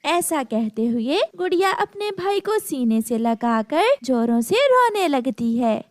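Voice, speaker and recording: A woman's voice: high voice, very animated, slow pace. A woman reading a scripted children's story as its narrator.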